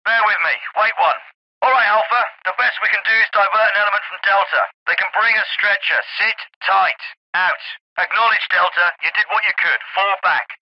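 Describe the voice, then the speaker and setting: An actor playing a curt, commanding British navy captain in a military game, with clipped, authoritative speech through a radio filter. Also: male voice, sits high, normal speed, dry recording. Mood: measured, instructive. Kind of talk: scripted military radio dialogue. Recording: muffled, band-limited noisy radio transmission.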